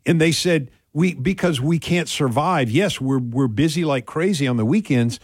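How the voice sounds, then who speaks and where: A man's voice: on the high side, normal speed, slightly rough, no echo. A man from southern Louisiana co-hosting a casual radio show in a strong voice, rambling and folksy as he gives his opinions on a close studio mic. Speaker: radio talk show co-host. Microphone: close studio microphone.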